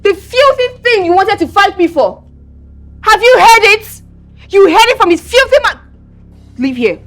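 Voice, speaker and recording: A high-pitched young Nigerian princess, proud and quick to scorn. She speaks with a hot temper, in the manner of an emotive film drama. Faint music sits behind her voice. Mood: furious. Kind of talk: emotive screen drama acting.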